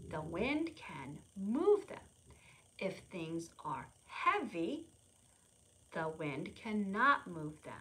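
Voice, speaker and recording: A woman presents to preschoolers with a bright, lively voice. She takes her time, explains things in simple, repeated phrases and invites the children to take part. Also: mid-range voice, low-quality recording. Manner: simple repetitive explanations with questions and prompts for small children; refers to self in third person. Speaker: preschool storytime presenter at a public library. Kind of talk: children's lesson, demonstrating to young viewers at home.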